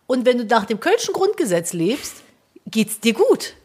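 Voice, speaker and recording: A woman's voice: fairly high pitch, very animated, moderate pace, a little mellow, slightly rough. A young woman from Cologne with a light Cologne accent, co-hosting a casual podcast chat in a blunt, jokey way and swearing freely. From Cologne, Germany. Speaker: podcast co-host.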